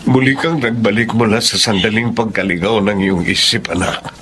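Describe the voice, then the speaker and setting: A man playing a patient, forgiving middle-aged Filipino father in a radio drama, gentle and solemn in delivery. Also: on the low side, normal speed, background noise, natural voice. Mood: tender, forgiving.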